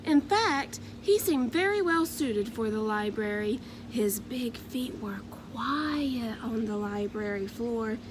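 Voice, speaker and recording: A woman narrates a children's story in a fairly high voice, taking her time with every phrase. Her delivery is expressive and measured, over clear background noise. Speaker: storybook reader.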